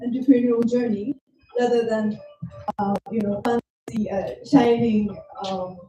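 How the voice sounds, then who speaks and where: Young woman, Japanese accent, dark and mellow voice. Modest and hesitant speaker, heard distant in a roomy hall. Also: fairly high pitch. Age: young adult. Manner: modest, hesitant, many fillers. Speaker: guest speaker, student entrepreneur. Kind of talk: conference talk. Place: university event venue. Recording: roomy, distant hall sound with faint noise.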